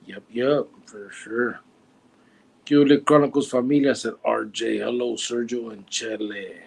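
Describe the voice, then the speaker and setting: A man's voice: mid-range voice, fairly even intonation, a little slow, gravelly, breathy edge, low-quality recording. A man, hosting a casual livestream, joking and teasing while reading out viewer comments. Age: 40s. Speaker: livestream host.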